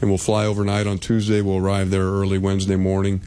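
Very deep, monotone man, dark tone, measured answers. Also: clean tone. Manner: measured, steady, with fillers. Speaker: university athletic director. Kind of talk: radio interview answers.

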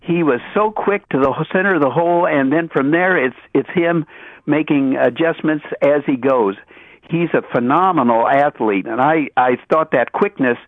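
Male sports-show caller, slightly high voice, rambling and repetitive delivery, thin phone-band sound. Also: no room sound. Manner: halting, wordy, repeats himself. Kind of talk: casual sports talk. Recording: dull band-limited phone call on radio.

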